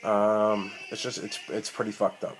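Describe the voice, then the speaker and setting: Man, 30s, low voice, flat delivery, rambling and cursing, tablet mic. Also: measured pace, soft background music, rough recording. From Boston, Massachusetts. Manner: rambling, profane, full of ums and restarts. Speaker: amateur wrestler. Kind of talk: rambling self-recorded vlog. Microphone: tablet built-in mic.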